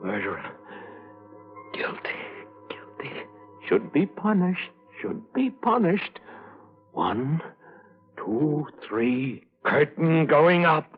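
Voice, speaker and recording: A man's voice: on the high side, big pitch swings, slow, pauses often, slightly rough, faint music behind, exaggerated voice. An actor playing an unhinged middle-aged man acting out a guilt-crazed murderer, declaiming feverishly and repetitively in old radio thriller style. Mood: self-goading, brooding. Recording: muffled old radio broadcast recording.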